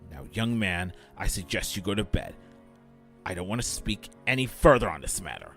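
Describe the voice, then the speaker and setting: A man's voice: deep voice, big pitch swings, moderate pace, gravelly, fairly bright tone, faint music behind, full character voice. A man playing a cold, short-tempered middle-aged fashion-mogul father in a fan audio drama, with a stern, imperious delivery.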